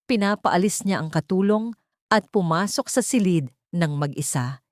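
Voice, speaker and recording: A woman's voice: fairly low pitch, darkish tone, dry recording, clean studio sound. A woman reading a dramatic scripted story as an audiobook narrator.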